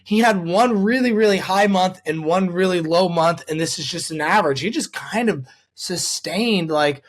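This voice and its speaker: A man's voice: high-pitched, moderate pace. A man hosting a casual sports podcast, talking with a fan's enthusiasm.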